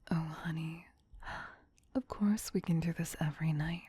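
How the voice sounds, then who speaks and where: A woman playing a doting, possessive vampire queen in an ASMR roleplay, whispering softly and soothingly in an intimate, coaxing manner. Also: low voice, steady tone, normal speed, no echo. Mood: tender, affectionate. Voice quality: breathy, soft whisper.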